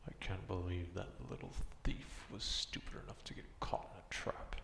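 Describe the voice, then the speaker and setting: Man voicing a deep, gravelly, gruff forest beast. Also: steady tone, normal speed, fairly bright tone, in a whisper. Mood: grumbling, irritated. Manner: grumbling, menacing, haughty. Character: gruff, distrustful male beast guarding his forest. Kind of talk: ASMR roleplay monologue.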